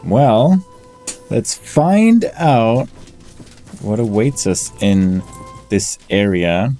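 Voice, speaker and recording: A man with a deep, mellow voice does casual gaming commentary. He thinks aloud at a slow, deliberate pace and stays low-key. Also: lively intonation. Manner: deliberate, low-key, talks through tactics. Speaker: gaming YouTuber. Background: game music.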